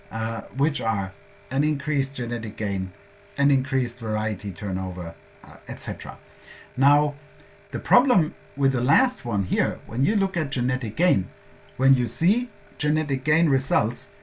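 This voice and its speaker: A man's voice: medium pitch, slightly rough. A man with a German accent presenting at a workshop, explaining concepts step by step in a methodical way.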